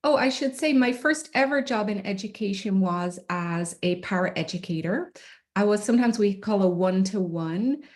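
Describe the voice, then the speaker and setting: A woman's voice: medium pitch, animated, moderate pace, a little mellow. A middle-aged woman from Ireland, presenting slides to parents at an online meeting in a friendly, informal way with frequent ums, on a computer mic. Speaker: special education administrator.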